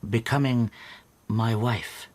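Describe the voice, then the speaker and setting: An actor in a radio drama playing a meek, anxious middle-aged bachelor, speaking hesitantly and confidingly. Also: male voice, medium pitch, fairly even intonation, slow, a little mellow, no room sound. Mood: nervous, hesitant. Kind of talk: radio drama acting with intimate first-person narration.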